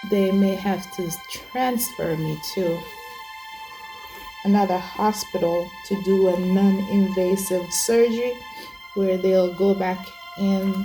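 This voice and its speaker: A woman in her forties telling her personal testimony in a hesitant way as host. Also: lowish voice. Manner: hesitant, many fillers and restarts. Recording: music bed behind.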